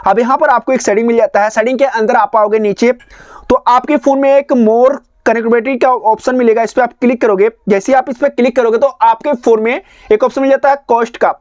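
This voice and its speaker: A man's voice: very high voice, steady tone, brisk, darkish tone, dry recording. A man narrating a step-by-step phone tutorial in a repetitive, instructional way. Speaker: tech tutorial host.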